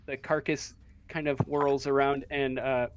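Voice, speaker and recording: A man narrating a tabletop role-playing game as game master, in halting, hesitant fragments. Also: medium pitch, little pitch movement, clean tone, no room sound.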